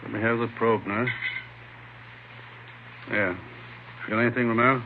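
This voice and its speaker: An actor playing a firm, clinical hospital doctor in an old radio drama. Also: male voice, mid-range voice, steady tone, natural voice. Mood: businesslike. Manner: brisk, clinical, authoritative. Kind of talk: 1950s radio drama acting. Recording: muffled, noisy old radio broadcast.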